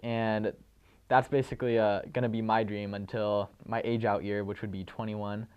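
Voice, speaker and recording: A teenage high school drummer answering interview questions in a polite, steady, slightly formal way, clearing his throat now and then. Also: normal speed, muffled recording. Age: late teens.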